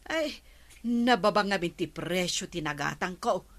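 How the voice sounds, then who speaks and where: A woman's voice: on the low side, expressive, unhurried, fairly bright tone. An actress playing a vain village woman obsessed with whitening her skin in a radio comedy drama, with an Ilocano accent. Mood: unbothered, insistent.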